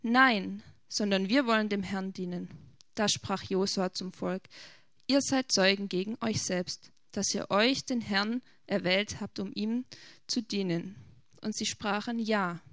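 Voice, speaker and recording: A woman reading a long scripture passage aloud in a steady, measured way. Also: mid-range voice, dry recording, clean studio sound. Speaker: scripture reader.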